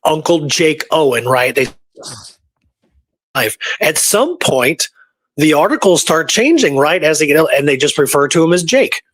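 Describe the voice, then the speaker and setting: A man's voice: a little high, a little slow. A man co-hosting a casual talk show about research, telling things conversationally and often checking in with the listener. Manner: animated storyteller, often tags points with "right?". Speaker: show co-host and history researcher. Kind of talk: casual chat.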